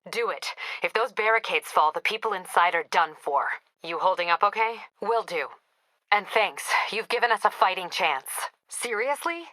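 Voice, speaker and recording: An actress playing a female police captain in a video game, brisk and weary, with a clipped, businesslike, dryly wry way of talking. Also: lowish voice, bright, forceful tone, no room sound. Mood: urgent, businesslike. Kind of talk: video game voice acting, radio dispatch briefings.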